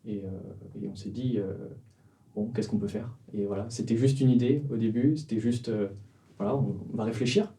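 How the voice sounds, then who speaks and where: A man's voice: on the low side, normal speed, dark tone, distant mic, rough recording. A man in his twenties from the Vendée, a startup cofounder telling the story of his company in an interview, with many fillers and restarts. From France, Vendée. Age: 20s. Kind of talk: casual interview answers.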